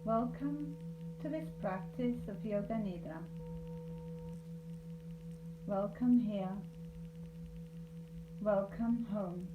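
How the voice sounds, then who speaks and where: A woman leading a guided meditation as a yoga nidra instructor, speaking calmly and soothingly with deliberate pauses. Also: medium pitch. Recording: roomy echo, light background noise.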